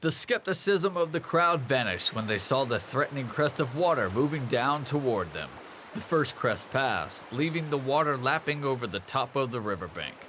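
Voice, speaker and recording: Animated male radio drama narrator. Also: medium pitch, normal speed, slight background noise.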